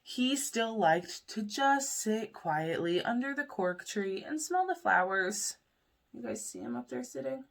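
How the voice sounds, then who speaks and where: A woman's voice: a little high, animated, a little slow, creaky. A woman reading a children's story aloud like a preschool teacher, expressively, with questions aimed at young listeners.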